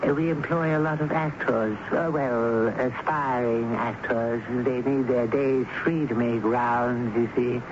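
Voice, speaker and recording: An actor in a vintage radio drama playing a fussy, gossipy night manager, chatty and hesitant with arch emphasis. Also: male voice, medium pitch, fairly even intonation, measured pace, few pauses, muffled recording, close to an everyday voice. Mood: fussy, helpful. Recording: noisy, band-limited old radio broadcast.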